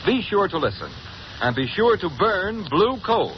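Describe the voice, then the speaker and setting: A male announcer speaks with a smooth, emphatic delivery and a lively lilt. The voice sits fairly high. The 1930s recording is dull and hissy. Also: unhurried. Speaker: radio announcer.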